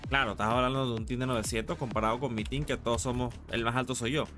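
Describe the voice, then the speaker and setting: A man's voice: medium pitch, steady tone, quick pace, over music. A man with a Latin American Spanish accent chatting casually on a live gaming stream, informal and slangy, with some casual swearing. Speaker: game streamer.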